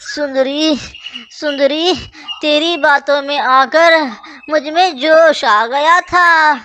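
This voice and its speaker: A woman's voice: sits high, slow, pushed and bright, a little hiss or hum, heavily acted. A woman voicing a hapless, henpecked male crow husband in a children's cartoon, pleading and melodramatic. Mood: groaning in pain. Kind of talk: children's cartoon story dialogue.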